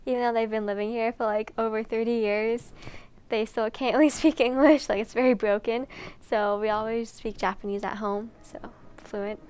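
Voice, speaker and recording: A woman's voice: a little high, fairly even intonation, moderate pace, darkish tone, clear, resonant tone. A young American woman answering interview questions in a bubbly, giggly way, with frequent "like". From United States. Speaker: climber.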